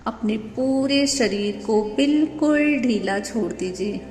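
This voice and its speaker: Slow, echoing woman guiding meditation. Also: a little high, lively intonation. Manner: gentle, soothing, unhurried with long pauses. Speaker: meditation guide. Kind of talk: guided meditation in Hindi. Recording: echoey long reverb with music. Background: meditation music.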